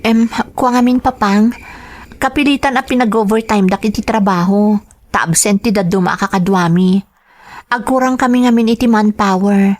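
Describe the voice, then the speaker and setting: A woman's voice: medium pitch, steady tone, moderate pace, natural voice. A woman acting as a dutiful young working daughter in a radio drama. She speaks with an Ilocano accent, earnestly pleading and explaining herself. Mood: nervous excuses.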